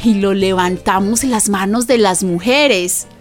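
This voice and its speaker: Radio drama narrator for an actress: plain-spoken Colombian neighbourhood woman, measured and slow, music clearly underneath. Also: medium pitch, lightly acted. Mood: reflective storytelling. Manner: colloquial, storytelling. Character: Juana, plain-spoken neighbourhood storyteller and protective woman friend. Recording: music behind the voice.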